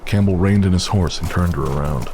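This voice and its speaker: A man's voice: sits low, a little fast, a little mellow, a little hiss or hum. A man giving scripted narration as the storyteller of a western horror audio drama. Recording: music bed behind the voice.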